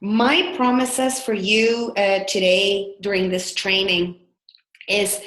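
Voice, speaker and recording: A woman's voice: medium pitch, a bit bright. Salvadoran woman presenting a webinar, echoey room. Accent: Salvadoran Spanish. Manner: earnest, upbeat, with frequent filler words. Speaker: business coach hosting a webinar.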